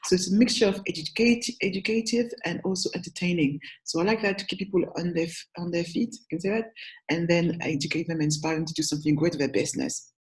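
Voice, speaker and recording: A woman's voice: fairly low pitch, quick pace, rough recording. A young adult woman with a French accent, a brand strategist giving chatty, upbeat business advice to camera, often correcting herself and checking in with the viewer. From France. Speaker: brand strategist and agency founder. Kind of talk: talking-head business advice video.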